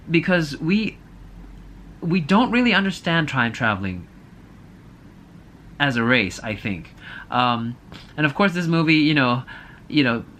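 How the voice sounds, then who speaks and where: A man's voice: a little high, pushed and bright, slight background noise. A man giving a casual, opinionated movie review, thinking aloud in a hesitant, apologetic way.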